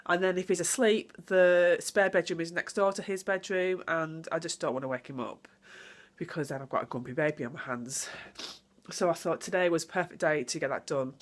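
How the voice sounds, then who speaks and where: Chatty woman with a cold. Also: lowish voice. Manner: chatty, rambling, self-deprecating. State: full of a cold, congested. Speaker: vlogger. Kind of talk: casual home vlog. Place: at home, indoors. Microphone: phone or camera mic.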